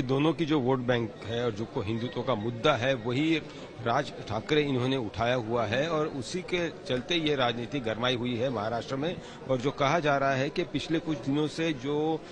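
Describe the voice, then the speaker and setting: A man giving a live political news report as a field reporter. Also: medium pitch. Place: outdoors at a rally site. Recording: outdoors with crowd noise behind.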